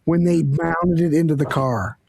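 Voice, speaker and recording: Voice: mid-range voice, moderate pace, gravelly, darkish tone, slightly rough. A host on a casual livestream panel, telling stories with a wry, teasing manner. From Central Ohio.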